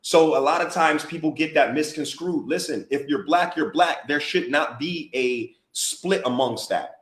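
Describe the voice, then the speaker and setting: A man's voice: on the high side, normal speed, fairly bright tone. An American man co-hosting a casual podcast chat, talking emphatically and repeating himself for emphasis. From United States. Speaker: podcast co-host and DJ. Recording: roomy echoey podcast audio.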